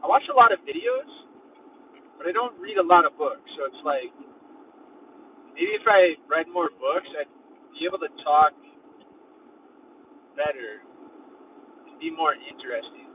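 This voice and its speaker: A man's voice: on the high side, big pitch swings, a little slow, long pauses. A young man casually vlogging while driving his van, rambling and leaning heavily on filler words. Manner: rambling, heavy use of "like". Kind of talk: casual road-trip vlogging. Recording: thin phone-like sound from a distant mic in a car. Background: faint road and engine noise.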